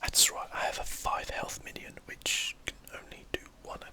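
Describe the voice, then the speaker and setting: Southern English male, bright breathy whisper, relaxed chatty ASMR game host thinking aloud. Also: normal speed. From southern England, UK. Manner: casual, chatty, musing aloud. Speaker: host of an ASMR gaming channel.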